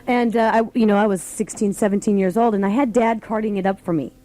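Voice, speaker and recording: A woman with an American accent hosts a live morning radio show. Her voice sits at a middle pitch, and she talks in a casual, chatty way, informal and unscripted. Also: fairly even intonation, quick pace, dark tone. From United States. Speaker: radio host. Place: radio station studio. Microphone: studio broadcast microphone.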